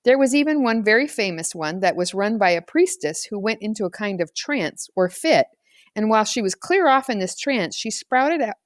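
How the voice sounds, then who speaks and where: Woman, audiobook reader, children's story narration, mid-pitched voice, dry close recording. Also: no echo. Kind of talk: scripted narration of a children's novel, read aloud.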